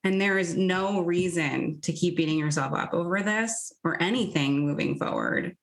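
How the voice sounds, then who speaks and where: A woman's voice: lowish voice, moderate pace, vocal fry. A woman in her thirties from New Jersey, talking candidly and reflectively as a guest in a casual interview. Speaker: podcast guest.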